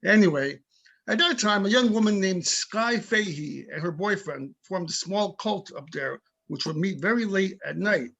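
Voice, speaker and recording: Man reading as husky, high-pitched older police chief. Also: animated, moderate pace, fairly bright tone, lo-fi sound, exaggerated voice. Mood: matter-of-fact. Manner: plainspoken, opinionated, small-town storytelling. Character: tough but amicable older male police chief. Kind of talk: amateur readers theater reading over videoconference.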